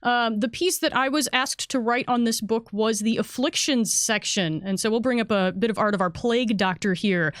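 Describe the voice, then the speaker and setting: A woman's voice: a little high, moderate pace, few pauses, no room sound, studio quality. A woman speaking conversationally as a game designer and editor, chattily explaining a product and correcting herself as she goes. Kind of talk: conversational product walkthrough for a livestream.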